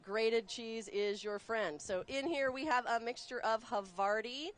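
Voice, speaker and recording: A woman chef raised in Texas, explaining a recipe step by step while cooking on a live show, chatty and quick to laugh. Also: fairly high pitch. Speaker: guest chef. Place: studio kitchen.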